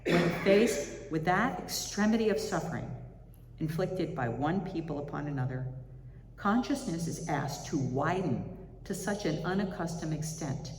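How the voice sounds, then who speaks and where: Low-voiced woman in an echoey hall. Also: expressive, normal speed, a little mellow. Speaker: speaker giving a reflection at a chapel service. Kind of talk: reading aloud from spiritual writings to a congregation. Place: large indoor chapel or hall. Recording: echoey hall with reverb, faint background noise.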